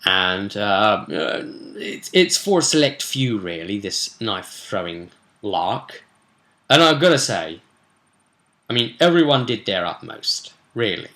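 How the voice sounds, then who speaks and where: British male, bright forceful voice, unhurried game master recap, chatty with playful asides. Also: fairly low pitch, very animated. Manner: chatty, digressive, with playful asides and emphatic bursts. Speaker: game master recapping a tabletop role-play session. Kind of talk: casual animated recap to camera.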